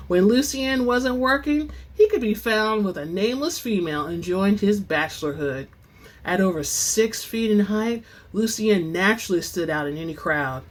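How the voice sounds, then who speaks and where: A woman hosting and reading book excerpts in a dramatic, expressive storytelling style, with informal asides. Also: mid-range voice. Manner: theatrical, expressive; informal, says y'all. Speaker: host and reader of book excerpts.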